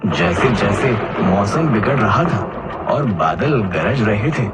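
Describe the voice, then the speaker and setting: A man's voice: lowish voice, big pitch swings, slow pace, loud music behind the voice. A man narrating a scripted children's cartoon story as its storyteller.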